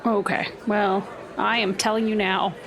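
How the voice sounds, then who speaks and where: A woman's voice: mid-range voice, level tone, measured pace, crowd noise, poor audio. A woman playing in a tabletop game, explaining background lore in a matter-of-fact, confident way. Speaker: player. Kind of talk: casual chat in a tabletop game.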